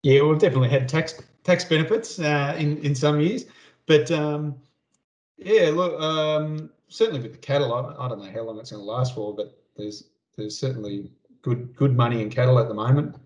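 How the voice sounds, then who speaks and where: A man's voice: medium pitch, moderate pace, darkish tone. A man speaking as a farmer on a panel, answering questions casually and thinking aloud in a hesitant way. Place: indoors on a video call. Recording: dull video-call audio.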